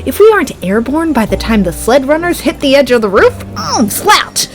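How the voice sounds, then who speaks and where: A woman's voice: sits high, very animated, normal speed, soft background music. A woman acting a jaded, grumbling bar-stool reindeer. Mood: weary griping. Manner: world-weary, griping, dryly pedantic. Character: jaded, tipsy, grumbling female-voiced reindeer drinking at a bar. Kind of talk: comedic audio play acting.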